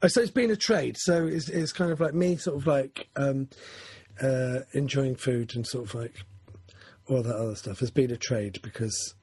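A man's voice: medium pitch, moderate pace, a little mellow, breathy edge, no echo. A man, talking through his goals in a casual, rambling, self-correcting chat. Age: 40s.

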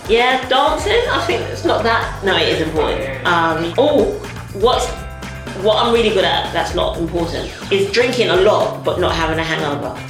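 A woman's voice: lowish voice, big pitch swings, moderate pace. A woman comedian answering light questions in a casual promotional interview. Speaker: stand-up comedian. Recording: echoey room with music underneath.

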